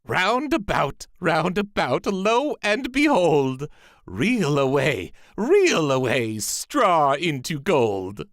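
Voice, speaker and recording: A man's voice: a little high, very animated, takes it slowly, no echo, clean studio sound, pitched above the performer's own voice. A man voicing a merry, sly little dwarf in a storybook, with a theatrical, singsong delivery. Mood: merry chanting. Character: little male dwarf, merry, sly bargaining magical creature. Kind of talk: storybook character voice.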